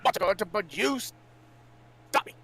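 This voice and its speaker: A man's voice: sits high, lively intonation, very slow, vocal fry, a bit bright, no echo. A man co-hosting a podcast, bantering casually with the group. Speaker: podcast co-host.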